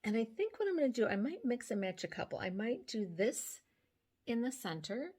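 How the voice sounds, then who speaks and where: Hoarse older woman explaining makeup gently. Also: a little high, very animated, fairly quick. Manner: gentle, step-by-step explaining while applying makeup. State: hoarse from sinus trouble. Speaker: beauty vlogger. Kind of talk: makeup tutorial chat. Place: small room at home, indoors. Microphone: phone camera close up.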